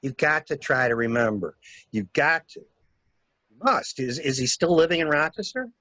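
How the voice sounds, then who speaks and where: Man acting a wry, probing stranger, animated delivery. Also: on the high side, normal speed, no echo. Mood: insistent. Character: shrewd, wry male stranger coaching a new widow. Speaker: library staff reader. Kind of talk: dramatic reading of a murder mystery.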